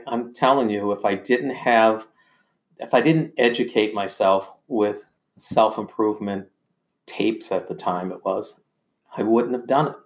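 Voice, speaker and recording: An older man telling personal stories as a podcast guest, in a halting, rambling and earnest conversational way. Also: medium pitch.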